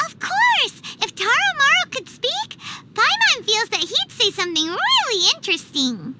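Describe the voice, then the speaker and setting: A woman voicing a small, childlike fairy companion in a video game, squeaky, exclamatory and easily offended. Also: wildly swooping pitch, moderate pace, heavy background noise, heavily acted. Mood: excited. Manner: refers to self in third person, exclamatory. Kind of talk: animated video game voice acting.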